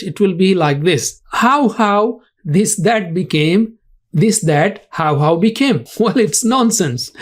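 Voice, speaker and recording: Lesson narrator, mid pitch, slow, emphatic, explains word by word. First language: Urdu. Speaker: religious language teacher.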